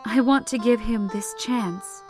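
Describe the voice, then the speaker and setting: A woman's voice: a little high, unhurried, lightly acted. Woman acting a caring, firm mother over guitar. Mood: worried. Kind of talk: audio drama acting.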